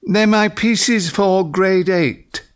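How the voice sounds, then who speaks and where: An actor playing a modest young student of twenty, speaking politely and diffidently in an audio drama. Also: male voice, sits high, very slow, smooth. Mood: shy, polite.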